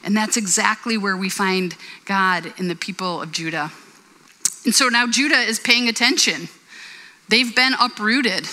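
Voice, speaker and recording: A woman's voice: a little high, sharp tone. A woman in her forties preaching a sermon in a church, earnest and conversational, with personal stories. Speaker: pastor. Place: church hall. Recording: reverberant church hall.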